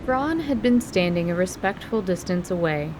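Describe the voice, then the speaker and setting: A woman's voice: lively intonation, moderate pace, noisy background. A woman narrating a dramatized fantasy story from a script. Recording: rumbling background ambience.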